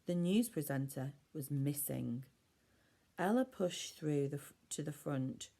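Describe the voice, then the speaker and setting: Low-pitched woman reading a children's story. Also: lively intonation, measured pace, gravelly, warm tone. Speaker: primary school teacher reading aloud.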